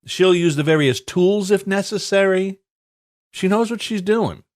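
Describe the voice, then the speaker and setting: A man's voice: sits high, measured pace. A stuffed-up man with a slightly raised voice rambles through casual podcast banter. He swears freely and stumbles over restarts, often laughing. His tone stays loose and chatty. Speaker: podcast co-host.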